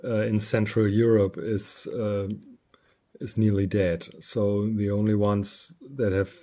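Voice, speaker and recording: A man giving a casual, unscripted product review, speaking haltingly with frequent pauses. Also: fairly low pitch, level tone, warm tone. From Germany. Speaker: radio reviewer.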